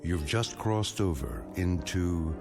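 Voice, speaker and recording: Male narrator of uncanny tales, very low pitch, solemn, mysterious, measured, portentous, music behind. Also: animated. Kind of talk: scripted radio drama introduction.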